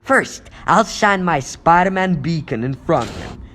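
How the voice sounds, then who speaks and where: Guy doing a young superhero for a comic dub, high voice swooping all over, wisecracky and sorry for himself. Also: animated, unhurried, a bit bright, a little hiss or hum. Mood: brooding, determined. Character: young male superhero, self-pitying, wisecracking, easily flustered. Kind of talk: animated superhero cartoon-style dub.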